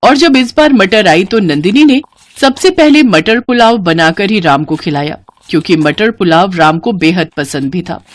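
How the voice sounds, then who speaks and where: A woman's voice: fairly low pitch, expressive, normal speed. A woman reading scripted narration for a moral bedtime story.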